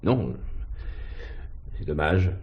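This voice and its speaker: Low-pitched male police commissioner, stern, methodical, clipped formal questioning, vintage French radio play. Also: lively intonation, extremely slow, a little mellow, full character voice. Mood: probing, dry. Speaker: actor.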